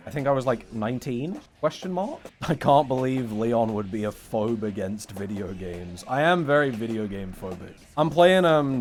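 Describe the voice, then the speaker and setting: Male streamer, wry and rambling, chatting to viewers between matches, mid pitch. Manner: joking, sarcastic, rambling. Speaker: streamer talking to chat. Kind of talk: casual live-stream chat while playing.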